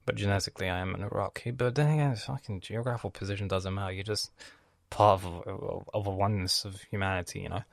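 A man's voice: deep voice, fairly even intonation, fast talker, creaky, dry recording, clean studio sound. A man raised in Lebanon hosting his own podcast, talking in a loose, rambling monologue full of swearing and lost threads. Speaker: podcast host.

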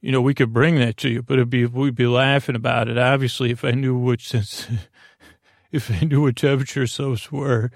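A man hosting a sleep podcast, wandering through a silly, halting bedtime monologue full of restarts and asides. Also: medium pitch, flat delivery, no echo, studio quality. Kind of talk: rambling, meandering bedtime monologue.

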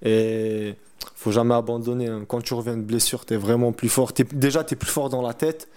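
A teenage man of eighteen being interviewed about his sport. He talks in a casual, colloquial way and often repeats a phrase to drive it home. His voice sits at an ordinary middle pitch. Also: fairly even intonation, normal speed, mellow, smooth. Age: 18 years old. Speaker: athlete interviewee, wrestler. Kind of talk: casual chat.

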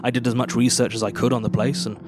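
A man acting a dry, uptight archivist in a live horror audio drama, measured and deliberate in his delivery. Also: mid-range voice, little pitch movement, very noisy, close to an everyday voice. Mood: grave, haunted. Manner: measured, deliberate, with hushed line endings. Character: dry, uptight male archivist reading eerie statements, easily exasperated. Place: live theatre stage.

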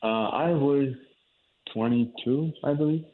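A man's voice: mid-range voice, slow pace, phone-quality sound. A man from India, a cardiologist, explaining his work in an interview, with many fillers and restarts. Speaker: cardiologist guest. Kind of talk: interview answers.

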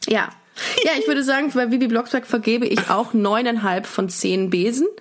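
A woman's voice: fairly high pitch, normal speed. A woman hosting a casual podcast chat, speaking informally and often searching for her phrasing. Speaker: podcast host.

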